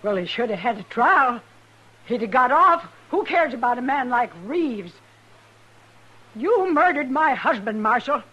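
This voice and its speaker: An actress playing a hard, defiant, vengeful frontier widow in a 1950s radio western, with blunt, accusing, folksy rural speech. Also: female voice, a little high, expressive, a little slow, bright, forceful tone, husky, highs cut off, heavily acted. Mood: shocked, accusing.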